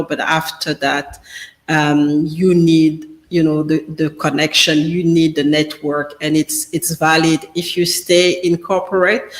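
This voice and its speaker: A man whose first language is French, answering interview questions in a halting way, full of fillers and restarts. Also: fairly high pitch, echoey room. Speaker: business guest speaker.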